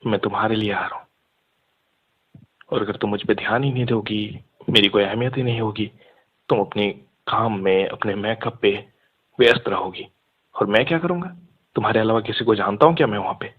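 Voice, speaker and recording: A young man with a fairly low, husky voice talks casually over a narrow, muffled phone line. He is insistent and argumentative, slipping English words into his sentences. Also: brisk. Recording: muffled phone call audio.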